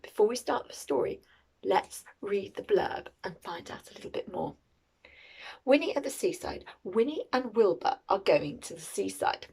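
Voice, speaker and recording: Animated woman reading to children. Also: mid-range voice, moderate pace, vocal fry, husky. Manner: expressive, questioning, teacherly. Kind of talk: animated picture-book read-aloud.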